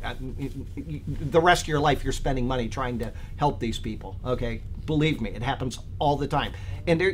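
Rambling male Bible teacher, faint background hum. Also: medium pitch. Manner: rambling, conversational, many fillers and restarts. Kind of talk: casual Bible study talk.

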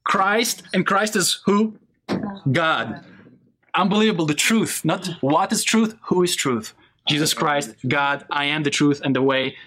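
Animated man teaching, bright voice. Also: fairly high pitch, measured pace, rough recording. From Israel. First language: Hebrew. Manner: animated, asks the audience questions. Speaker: Bible teacher. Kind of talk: classroom lesson with a live audience.

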